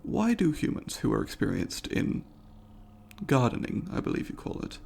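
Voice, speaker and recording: A curious alien academic played by a man addresses a human in an ASMR roleplay. The voice sits at a middling male pitch with a noticeable gravelly fry. The delivery is formal, courteous and deliberate, over a faint bed of ambient noise. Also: wildly swooping pitch, darkish tone, full character voice. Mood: earnest, puzzled. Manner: formal, measured, academic wording with deliberate pauses. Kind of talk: ASMR roleplay, scripted monologue to the listener.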